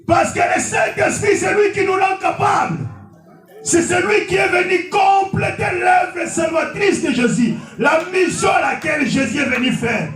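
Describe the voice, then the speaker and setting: A man whose first language is Portuguese, preaching an impassioned sermon in a church and often shouting. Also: extremely high pitch, measured pace. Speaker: pastor. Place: church hall. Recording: echoey hall, distant mic, faint music.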